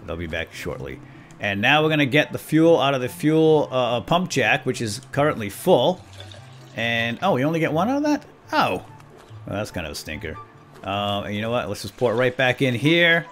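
A man's voice: mid-range voice, sing-song, normal speed, fairly bright tone, soft background music. A man hosting a let's play with casual, folksy, chatty commentary and light role-play, talking directly to viewers.

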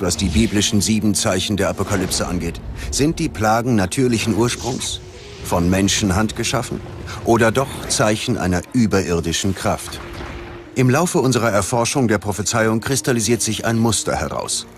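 A man's voice: fairly low pitch, lively intonation, moderate pace. A man narrating a scripted documentary in a grave, dramatic manner. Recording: music bed behind the voice. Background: background music.

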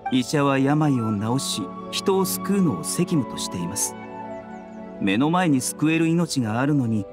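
A man playing a gentle young physician in an anime game, composed, polite and formal in his measured delivery. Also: medium pitch, close to an everyday voice. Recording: background music under voice.

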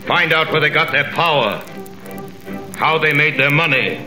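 An actor playing a vengeful, commanding male count in a 1930s radio drama, measured and steely. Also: a little high, expressive, close to an everyday voice. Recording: echoey old radio recording with music.

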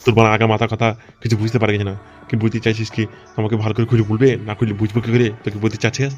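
A man's voice: fairly low pitch, level tone, racing, darkish tone, lo-fi sound, faint music behind, close to an everyday voice. A man playing a coarse, blustering, hot-tempered gangster boss and harried father in an amateur comic cartoon dub. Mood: vengeful, aggrieved.

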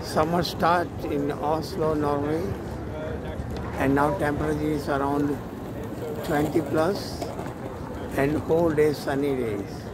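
Male Indian-accented vlogger, somewhat high voice, halting delivery, recorded on a phone amid loud street noise. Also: fairly even intonation. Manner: halting, short repeated phrases. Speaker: vlogger and matchmaker. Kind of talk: casual selfie travel vlog. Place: outdoors in an open city square. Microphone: phone at arm's length. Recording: loud outdoor city square noise. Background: urban ambience and vehicles.

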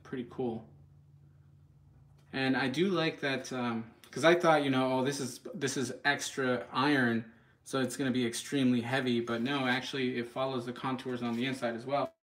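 A man's voice: mid-range voice, fairly even intonation, normal speed, fairly bright tone. A man giving casual live product commentary in a home kitchen, speaking informally and a bit hesitantly straight to viewers. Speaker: product reviewer, channel host.

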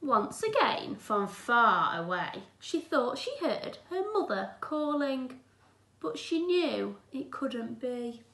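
A high-voiced woman reads a storybook to small children. Her delivery is slightly unhurried and very lively in pitch, with encouraging teacherly asides. The room gives her voice an echo. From England. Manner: expressive, addressing young children with encouraging asides and gasps. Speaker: infant school teacher. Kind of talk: children's storybook read-aloud. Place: small room at home, indoors. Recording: roomy, echoey indoor sound.

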